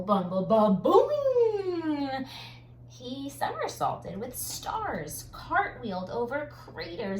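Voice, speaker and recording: A woman reading a children's picture book aloud like a teacher, dramatic on sound words and pausing to comment. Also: a little high, vocal fry. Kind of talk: expressive children's read-aloud with explanatory asides. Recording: roomy echo, faint background noise.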